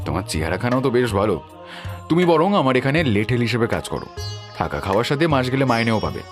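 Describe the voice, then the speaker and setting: A man acting an expressive, trusting landlord. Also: medium pitch, quick pace, faint music behind, lightly acted. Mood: genial, commanding. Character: kindly, trusting wealthy landlord, devoted husband. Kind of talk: melodramatic cartoon horror-story acting.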